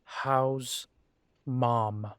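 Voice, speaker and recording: A young man playing a restless grown son coming home, halting at first and then pressing. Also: medium pitch, fairly even intonation, a bit bright, clean tone, no echo, clean studio sound. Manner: hesitant, then pressing and insistent.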